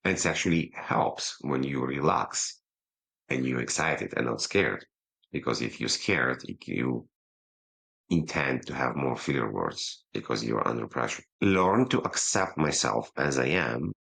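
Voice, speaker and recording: Very low, gravelly man with an accent. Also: animated, a bit bright. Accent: non-native English accent. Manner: quick talker who slows himself down, pausing instead of fillers. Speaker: podcast guest. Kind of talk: casual interview talk.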